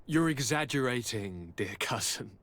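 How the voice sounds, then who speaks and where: A man's voice: medium pitch, lively intonation, a little slow, fairly bright tone. A man voicing a breezy, affectionate young aristocrat in a video game, with a courtly, airy and theatrical way of talking. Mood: politely dismissive. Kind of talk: dramatic video game acting.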